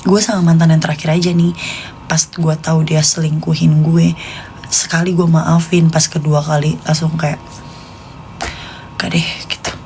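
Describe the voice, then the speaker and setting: A woman's voice: low-pitched, level tone, normal speed, long pauses, a little mellow, a little hiss or hum. A woman in her late twenties with a Jakartan Indonesian accent, chatting casually and confidingly to live stream viewers in informal slang. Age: late 20s.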